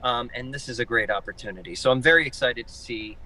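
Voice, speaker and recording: Bright-voiced, enthusiastic man in an interview. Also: mid-range voice, quick pace, rough recording. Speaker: interview guest, theater artistic director. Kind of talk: live interview answers, explanatory.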